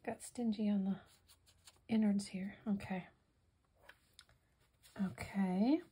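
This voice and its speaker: A hoarse woman demonstrating a craft project in casual tutorial style, softly thinking aloud and drifting into whispered asides. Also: medium pitch, steady tone, slow. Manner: soft-spoken, musing, drifts into whispered asides.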